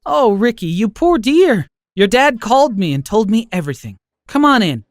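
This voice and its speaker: A man voicing a kind, forgiving elderly grandmother who speaks gently. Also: high-pitched, very animated, moderate pace, no room sound, clean studio sound, pitched above the performer's own voice. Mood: gentle. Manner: gentle, soothing.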